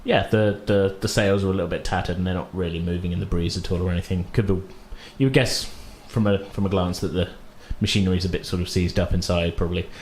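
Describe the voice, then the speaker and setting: Male game master, lowish voice, casual halting improvised narration, slightly noisy recording. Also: fairly even intonation, a little mellow. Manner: halting, hedging with "sort of", rephrasing. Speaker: game master of a tabletop roleplaying game.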